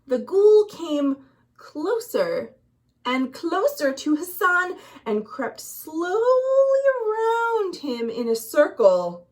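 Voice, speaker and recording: Female children's storytime reader, American, high and lively, unhurried dramatic delivery. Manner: dramatic, deliberate emphasis. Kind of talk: expressive children's storybook narration with questions to listeners.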